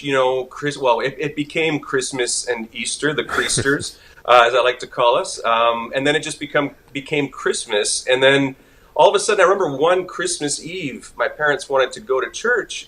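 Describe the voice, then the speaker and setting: A man's voice: medium pitch, moderate pace, a bit bright. A man from Montreal whose first language is French, chatting as an interview guest and laughing easily. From Montreal, Canada. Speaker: guest actor. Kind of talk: casual interview chat.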